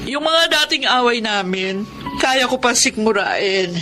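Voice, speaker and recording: High-pitched, emotional Filipino mother. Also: a little slow, faint music behind. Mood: tearful, hurt. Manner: emotional, expressive, plain-spoken. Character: hardworking, hurt single mother of a teenage son. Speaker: actor in a drama. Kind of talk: emotional Filipino radio-style drama acting.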